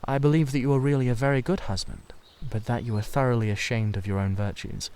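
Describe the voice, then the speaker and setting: A man's voice: mid-range voice, fairly even intonation, darkish tone, smooth, lightly acted. Man acting an earnest, hesitant young painter. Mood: mildly reproachful. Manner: earnest, halting, confiding. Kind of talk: dramatised audiobook reading.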